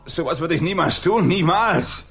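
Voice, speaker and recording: An actor playing an evasive, cornered suspect in a radio drama, hesitant and defensive, nervous yet defiant. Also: male voice, a little high, expressive, moderate pace, over music. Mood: agitated. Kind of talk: 1980s German radio drama acting.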